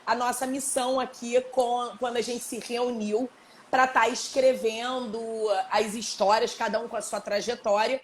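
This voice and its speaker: A woman's voice: fairly high pitch, little pitch movement, moderate pace, a little hiss or hum. Chatty woman hosting a live chat. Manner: chatty, laughs often, restarts sentences. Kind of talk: casual live chat, reading book excerpts.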